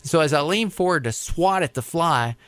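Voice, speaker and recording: An American man recounting his work as an air traffic controller in a conversational, deliberate, explanatory way. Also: on the high side, lively intonation, fairly bright tone, clean tone, dry recording, soft background music. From United States. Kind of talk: conversational storytelling interview.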